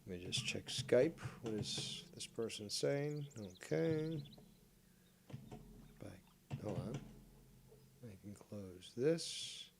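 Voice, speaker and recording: A man's voice: medium pitch, animated, takes it slowly, vocal fry, pauses often, a little hiss or hum. A man livestreaming a game with rambling live commentary, theatrical and mock-villainous, crude and full of swearing. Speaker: livestreamer playing a fishing game.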